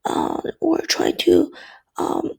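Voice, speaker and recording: A woman giving a class presentation in a hoarse, nearly lost voice from a cold, hesitant with many fillers. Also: takes it slowly, darkish tone. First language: Chinese. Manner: mostly whispered, hesitant with fillers. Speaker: student presenter.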